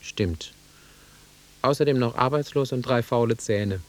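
A man's voice: medium pitch, a little slow, close to an everyday voice. An actor playing an awkward, sentimental middle-aged man in a German radio drama, self-deprecating and swinging between murmurs and outbursts. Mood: gruff.

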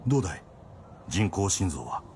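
Avuncular doctor, fairly low voice, composed, formal, radio drama, slight ambient noise. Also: male voice, very animated, moderate pace, a little mellow. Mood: satisfied. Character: composed male doctor, paternal and drily familiar.

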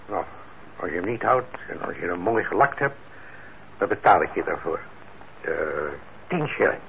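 Kind older shop owner in a radio drama, gravelly, soft halting way of talking, muffled noisy old recording. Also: male voice, lowish voice, expressive. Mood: patient, friendly. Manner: gentle, halting, encouraging. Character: kindly, trusting older shopkeeper father, patient with youngsters. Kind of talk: radio play acting.

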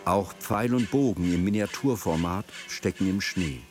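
A man's voice: deep voice, normal speed, over music. A man reading scripted narration for a radio drama.

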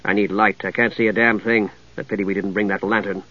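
A man voicing a proud, impatient knight with a curt, gruff manner in a fantasy audiobook. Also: lowish voice, flat delivery, fast, pushed and bright, highs cut off, voice pushed down. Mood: matter-of-fact.

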